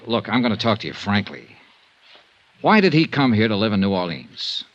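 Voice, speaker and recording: A dull, band-limited 1950s radio recording carries a low-pitched American man as a hard-boiled investigator. He is blunt and world-weary, speaking in the clipped style of detective serials. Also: big pitch swings, moderate pace. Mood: pressing, frank. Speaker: lead actor and narrator of a radio detective serial.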